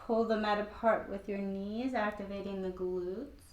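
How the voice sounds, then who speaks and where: A woman with a croaky voice guiding a gentle yoga class in an old studio, cueing calmly with light laughs. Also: mid-range voice, normal speed, roomy sound, lo-fi sound. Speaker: yoga instructor.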